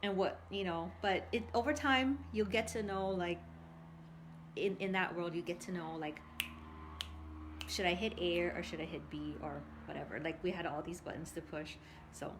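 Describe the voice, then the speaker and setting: A woman speaking as a coach on a casual livestream, conversational and animated, telling personal anecdotes and giving advice while often checking for agreement. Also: lowish voice, normal speed, noisy background. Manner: animated, conversational, acts out quoted thoughts and asks for agreement. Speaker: coach hosting a live stream.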